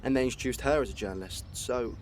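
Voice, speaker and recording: A man plays a chatty young lad in a radio soap, rattling along quickly. He is blunt and teasing, with some ambient noise around him. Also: medium pitch, natural voice. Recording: noticeable background noise.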